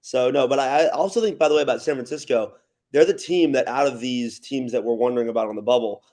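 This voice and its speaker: A man's voice: mid-range voice, fast talker, a little mellow. Emphatic man chatting casually about sports. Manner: emphatic, repeats questions for effect. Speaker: podcast guest, arena PA announcer.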